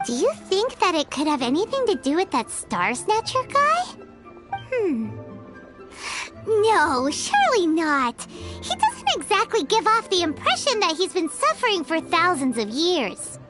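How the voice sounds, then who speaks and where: Tiny fairy companion, very high squeaky voice, lively and bouncy, chirpy and curious, game dialogue over clear music. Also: female voice, unhurried, full character voice. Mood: thoughtful. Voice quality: high, bright and childlike. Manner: talks about herself in the third person.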